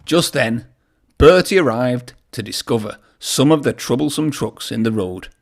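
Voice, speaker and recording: A man's voice: mid-range voice, expressive, normal speed, vocal fry. A man reading a children's story aloud in a narrating style. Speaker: storybook reader.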